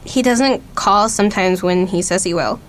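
Thirteen-year-old niece for radio drama, adult female actor: mid-range voice, blunt, guarded, deadpan, slight background noise. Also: medium pitch, moderate pace, lightly acted. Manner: terse, deadpan. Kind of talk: naturalistic radio play acting.

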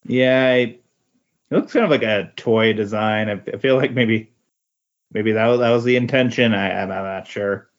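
A man's voice: lowish voice, steady tone, normal speed. Tentative man chatting, dull recording. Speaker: podcast guest. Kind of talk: casual chat.

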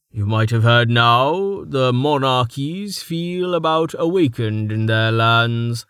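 A calm, scholarly man lays out his point slowly and deliberately, holding back anger under an even surface, as a man narrator voices him. The recording is close and dry. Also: mid-range voice, dry recording, close to an everyday voice. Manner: measured, slow, with restrained anger.

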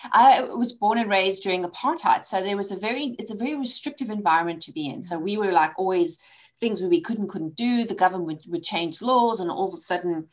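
A woman in her forties from South Africa, a guest in a conversational interview, telling her story candidly with quick asides. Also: mid-range voice, low-quality recording. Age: 40s. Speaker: podcast guest, coach and author.

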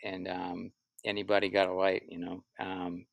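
A man with a low voice and a gravelly fry at the edges talks through his stories as an interview guest. He is reflective and halting, circling back over his words. The sound is very dry and close. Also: monotone, no room sound. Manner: halting, reflective, self-repeating. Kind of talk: casual interview answers, storytelling.

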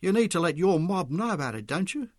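A man's voice: high-pitched, lively intonation, fast, dry recording, studio quality, pitched above the performer's own voice. A man voicing an agitated, bewildered working-class husband in a story, firing urgent questions. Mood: frustrated, bitter.

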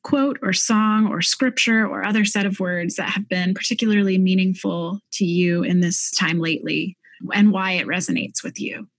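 A woman hosting an interview podcast, asking questions in a gentle, affirming, slightly halting way. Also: mid-range voice, steady tone, no room sound. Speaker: podcast host.

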